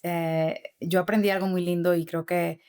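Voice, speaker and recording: A woman's voice: lowish voice, level tone, moderate pace, a little mellow, clear, resonant tone. A middle-aged woman, a former corporate manager, answering interview questions earnestly, with frequent fillers and tag questions. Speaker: podcast guest, author and former corporate manager.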